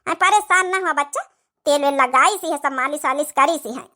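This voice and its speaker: A woman's voice: high voice, brisk, sharp tone. A woman voicing an ailing, self-sacrificing old village mother in an Awadhi comedy cartoon, plaintive and soothing. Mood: reassuring.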